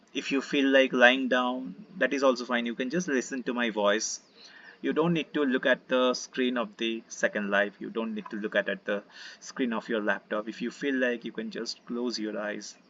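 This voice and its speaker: Man, Indian accent, gentle meditation teacher, husky tone, muffled voice-chat sound. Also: fairly low pitch. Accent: Indian English. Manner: relaxed, gentle, many fillers, invites listeners to join in. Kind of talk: casual online session talk.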